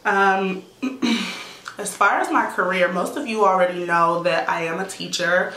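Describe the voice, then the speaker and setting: A woman in her thirties answering viewer questions in a casual vlog, chatty and relaxed with many "um"s and "like"s. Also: medium pitch, a little slow, reverberant, low-quality recording. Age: 30s. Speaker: YouTuber, teacher. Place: indoor room at home.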